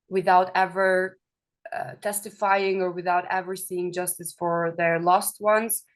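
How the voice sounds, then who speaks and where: A young woman from Kosovo, a film director, talking in an interview with many hesitations and restarts. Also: lowish voice. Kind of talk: festival interview.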